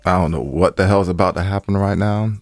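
A man's voice: low-pitched, fairly even intonation, fairly quick, dry recording, soft background music. A man co-hosting a podcast in casual, joking banter, playful and repeating phrases for emphasis. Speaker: podcast co-host.

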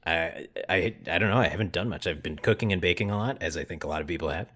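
A man's voice: sits low, quick pace, a bit bright, husky. A man co-hosting a podcast in casual comedic chat, joking and riffing on silly made-up bits. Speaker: podcast co-host.

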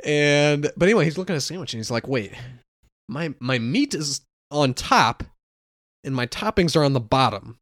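A man's voice: a little high, lively intonation, moderate pace, a bit bright, dry recording, clean studio sound. A young man co-hosting a podcast in casual chat, rambling and laughing often. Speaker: podcast co-host.

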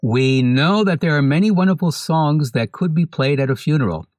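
A man hosting a podcast, reading a scripted introduction to a segment in a measured way. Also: a little high, animated, very noisy. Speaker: podcast host.

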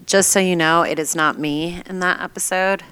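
A woman's voice: lowish voice, steady tone, normal speed, bright, forceful tone, smooth, no echo, poor audio. A woman co-hosting a casual podcast, with a nasal voice and a giggly, playful way of talking. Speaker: podcast co-host.

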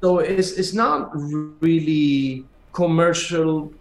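A man from Argentina with an Argentine accent, a native Spanish speaker, answering as an interview guest in a halting, conversational way with repeated words. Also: fairly high pitch, faint music behind. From Argentina, raised in Buenos Aires. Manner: halting, repeats words as they search for phrasing. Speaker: musician guest, pianist and teacher. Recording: echoey room sound.

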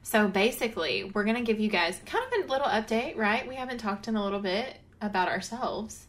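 A woman's voice: mid-range voice, animated, brisk, fairly bright tone. Giggly young woman co-hosting a podcast. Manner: giggly, lots of fillers like "um" and "like". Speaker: podcast co-host. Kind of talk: casual chat.

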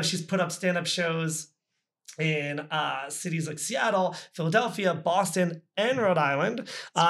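Male podcast host, fairly high voice, informal, enthusiastic, chatty introduction style. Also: a bit bright.

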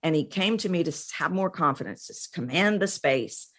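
Low-pitched middle-aged woman. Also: lively intonation, fairly quick, a bit bright. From Texas, United States. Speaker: interview guest, voice coach. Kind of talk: conversational interview storytelling.

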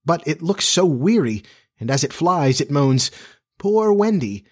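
A man voicing simple, excitable young boys in a storybook, eager and exclaiming. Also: on the high side, moderate pace, breathy edge. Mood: awestruck.

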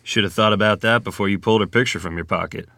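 A man playing a steely, terse middle-aged rural ferryman and card player in a table-read drama, speaking deliberately and plainly. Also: fairly low pitch, pushed and bright, no room sound. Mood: blunt, calm. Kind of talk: table-read screenplay acting.

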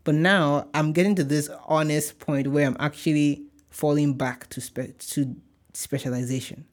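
A man's voice: a little high, fairly even intonation, normal speed. A young man from Nigeria with a light Nigerian accent, hosting a casual solo podcast in an upbeat, chatty, rambling way. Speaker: podcast host.

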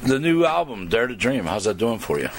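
A man's voice: on the low side, sing-song, brisk. A man interviewing a guest in a casual, informal and chummy way. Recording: clear background noise, clicks.

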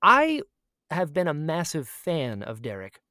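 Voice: mid-range voice, wildly swooping pitch, measured pace, bright, forceful tone, smooth, no room sound, studio quality. A person chatting casually as a podcast co-host, telling stories with a fan's gushing enthusiasm and repeating phrases for emphasis. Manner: acts out quoted exchanges in storytelling.

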